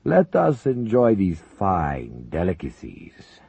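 A man's voice: deep voice, wildly swooping pitch, vocal fry, darkish tone, husky, no room sound, pitched above the performer's own voice, full character voice. A man playing Zelinkov, a menacing Soviet leader. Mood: jovial. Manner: slow, menacing, prone to rage. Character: ruthless older male Soviet leader.

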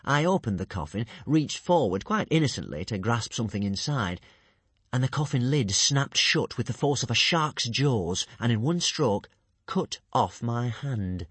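A man voicing a sly male schemer who poses as a poor but honest farmer, smooth and crafty. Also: medium pitch, big pitch swings, measured pace, fairly bright tone, no echo, heavily acted.

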